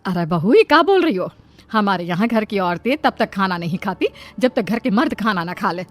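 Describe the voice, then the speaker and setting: A woman's voice: mid-range voice, sing-song, normal speed, soft background music, lightly acted. A woman voicing a traditional, submissive mother-in-law who admonishes yet cares, in a cartoon moral story. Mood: scolding.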